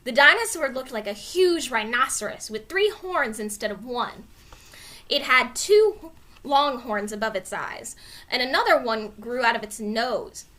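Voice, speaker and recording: Casting: female storybook narrator, very lively melodic delivery, high voice, expressive and dramatized. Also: a little slow. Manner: expressive, clearly dramatized reading. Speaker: storybook reader. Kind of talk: animated children's read-aloud narration.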